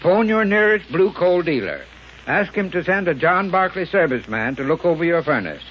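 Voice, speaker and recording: A man's voice: a little high, sing-song, normal speed. A man giving formal, instructive expert advice in a scripted 1930s radio commercial. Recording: muffled 1930s radio recording with hiss.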